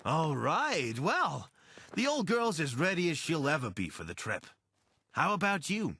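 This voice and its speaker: An actor playing an anxious, wry deserter soldier and pilot in a video game, speaking in a conversational, earnest way. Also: male voice, on the high side, huge pitch swings, unhurried, pushed and bright, husky, full character voice. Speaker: voice actor for a companion character. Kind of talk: video game character dialogue.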